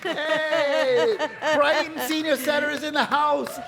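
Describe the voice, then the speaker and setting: A man with a notably high voice hosts a live panel discussion. He speaks casually and playfully, teasing guests and engaging the room as a moderator. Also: takes it slowly, vocal fry, over a noisy crowd. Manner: playful, teasing, animated with audience. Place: live event in a public library.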